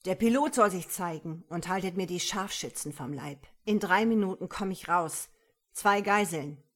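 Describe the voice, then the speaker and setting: A woman's voice: fairly low pitch, moderate pace, lightly acted. A woman voicing a brazen, sardonic male bank robber who barks commands in an audiobook. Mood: commanding, sardonic. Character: brazen masked bank robber, sardonic man barking demands. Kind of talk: audiobook character voice.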